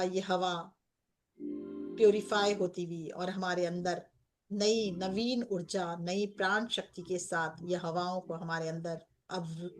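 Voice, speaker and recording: A woman with an Indian accent leading a guided meditation as a coach, speaking in gentle, repetitive phrases with pauses. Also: fairly low pitch. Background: background music.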